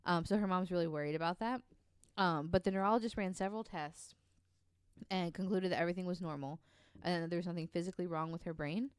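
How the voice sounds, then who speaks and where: A woman's voice: lowish voice, fast talker, smooth, dry recording, clean studio sound. A woman co-hosting a podcast, casually telling a true story with lots of ums and likes. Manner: chatty, many ums and likes, laughs easily.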